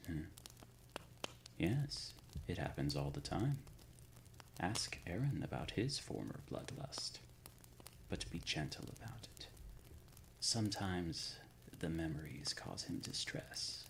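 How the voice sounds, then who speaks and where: A man playing an ancient, solemn undead leader of a secret order in an ASMR roleplay, speaking in a breathy, gravelly whisper with formal, archaic diction. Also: sits low, sing-song, slow pace, pauses often, a little hiss or hum, heavily acted, low-quality recording. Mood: stern, courteous.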